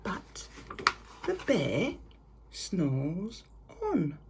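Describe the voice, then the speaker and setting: A woman reading a picture story aloud to children with theatrical, drawn-out emphasis. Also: on the low side, huge pitch swings. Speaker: primary school reader. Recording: clear background noise.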